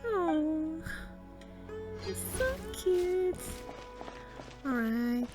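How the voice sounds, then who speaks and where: A woman giving soft, sparse, murmured reactions as she plays a game casually. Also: very high-pitched, big pitch swings, extremely slow, loud music behind the voice. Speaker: gamer, streamer.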